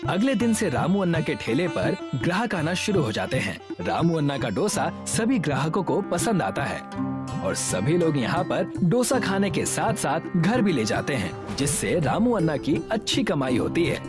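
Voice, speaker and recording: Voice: medium pitch, very animated, normal speed. A narrator reading a scripted moral story for children. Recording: music behind the voice.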